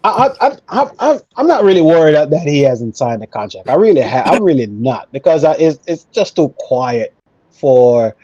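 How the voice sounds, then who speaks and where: A man's voice: a little high, big pitch swings, normal speed, dark tone, husky, no room sound, dull audio. A man talking casually and emphatically as an opinionated football fan on a panel show, repeating himself for emphasis. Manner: emphatic, repetitive, conversational.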